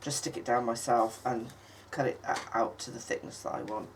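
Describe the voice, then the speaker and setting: Lady with a croaky cold voice, chatty craft tutorial, lots of ums, mic a bit far off with light hiss. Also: steady tone. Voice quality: husky, low for her. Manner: chatty, halting, many ums, coughs now and then. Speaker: craft channel host. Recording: distant mic with faint noise.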